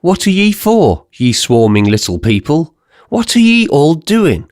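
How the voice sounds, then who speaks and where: A perplexed young giant from the countryside, put on by a man reading aloud, whose voice rises high and strained. His odd, unfamiliar speech comes out in plaintive, searching questions. The storybook reading is close and dry. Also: big pitch swings, unhurried, a little mellow, dry recording. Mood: bewildered. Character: bewildered young male giant chalk cutter, rustic.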